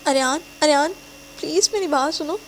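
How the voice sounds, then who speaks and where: A woman's voice: level tone, a little hiss or hum, natural voice. A woman voicing a desperate young woman who pleads tearfully and cries in a storybook reading. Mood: sobbing. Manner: tearful, pleading, high-pitched.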